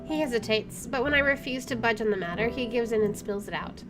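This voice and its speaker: A woman's voice: fairly high pitch, quick pace. A woman hosting a let's play, reading story narration aloud and adding casual asides. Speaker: gaming video host. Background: game music.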